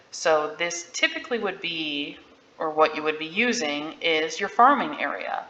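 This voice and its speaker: A woman giving a casual, candid software walkthrough in an informal, unscripted way. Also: fairly low pitch, a little hiss or hum. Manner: informal, candid about not being an expert. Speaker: realtor giving a software tutorial. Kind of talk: casual screen-share walkthrough. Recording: dull, roomy-sounding audio.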